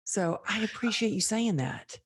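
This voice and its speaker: A woman from Texas hosting a podcast, telling stories in a lively way and acting out the lines she quotes. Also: medium pitch, normal speed, a little hiss or hum. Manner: animated storyteller, acts out quoted dialogue. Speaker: podcast host. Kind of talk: casual interview chat.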